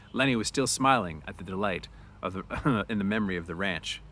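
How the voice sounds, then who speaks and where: A man's voice: deep voice, expressive, moderate pace, fairly bright tone, slight background noise. A man narrating a story aloud in an informal way, with casual asides.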